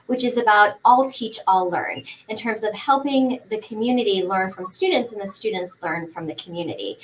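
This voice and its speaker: A woman's voice: medium pitch, fairly bright tone, poor audio. A woman in her forties, a pharmacist guest in a podcast interview, talking earnestly and fluently with frequent fillers and restarts. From United States.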